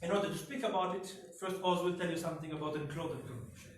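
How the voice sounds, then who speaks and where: A man's voice: fairly high pitch, steady tone, quick pace. A man presenting an academic talk at a conference as a researcher. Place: indoor symposium room. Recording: very echoey room, distant mic.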